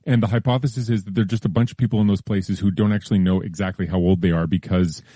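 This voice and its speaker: A man explaining science news in a relaxed, careful way during a casual chat, with frequent hedges and restarts. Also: sits low, fast talker, slightly rough, no room sound, clean studio sound. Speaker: science columnist.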